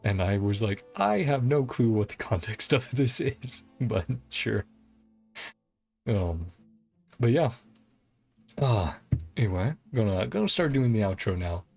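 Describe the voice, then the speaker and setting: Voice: medium pitch, lively intonation, unhurried, creaky, pauses often, darkish tone. A game livestream host chatting casually in a giggly, hesitant, rambling way. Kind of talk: casual game stream chat. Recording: game music behind the voice. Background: video game music.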